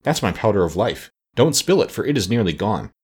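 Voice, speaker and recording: A man's voice: on the low side, big pitch swings, fairly quick, breathy edge. A man voicing a plain-spoken, practical young boy in a children's storybook, blunt and colloquial. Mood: alarmed.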